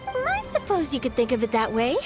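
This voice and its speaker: Keen polite young reporter girl in a game, high animated voice, music behind. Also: a little fast. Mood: eager, persuasive. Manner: courteous, enthusiastic. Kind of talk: video game voice acting.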